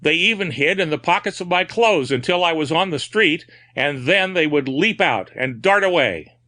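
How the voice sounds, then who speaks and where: Male reader voicing a refined madman, haunted and feverish, raised high and urgent. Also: big pitch swings, unhurried, pushed and bright, full character voice. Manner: feverish, confiding, breaking into frantic cries. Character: frantic, haunted male asylum patient, refined.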